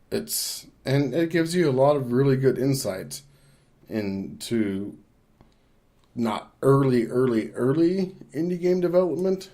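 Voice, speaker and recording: A man co-hosting a podcast, talking through his opinions on films casually, thinking aloud in a measured way. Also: on the low side, mellow. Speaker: podcast co-host. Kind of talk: casual film discussion.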